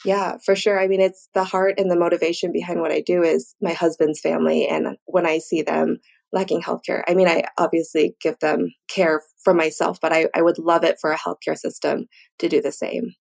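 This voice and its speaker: Woman with a low, creaky voice. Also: flat delivery, brisk, a little mellow. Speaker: guest, physician and researcher. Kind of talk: conversational interview answers.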